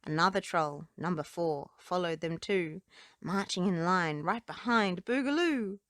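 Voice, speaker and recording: Slow-paced woman reading bedtime stories. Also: on the low side, fairly bright tone, no room sound, studio quality. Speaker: children's book reader. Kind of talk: read-aloud storybook and nursery rhyme narration.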